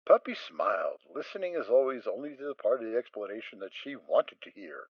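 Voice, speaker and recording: A man's voice: mid-range voice, very animated, a little fast, creaky, dry recording, dull audio. A man narrating a fan audio drama in a storytelling reading style.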